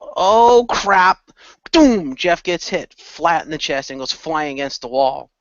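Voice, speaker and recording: A man's voice: a little high, steady tone, moderate pace, fairly bright tone, dry recording, dull audio. A man narrating action in an amateur radio play as one of its performers.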